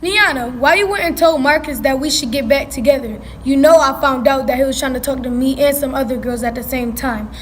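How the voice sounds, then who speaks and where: A woman's voice: sits high, fairly quick, few pauses, slight background noise, natural voice. A woman playing a no-nonsense, questioning teenage schoolgirl in casual drama dialogue. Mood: challenging, skeptical. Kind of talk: stage play video skit, casual dialogue.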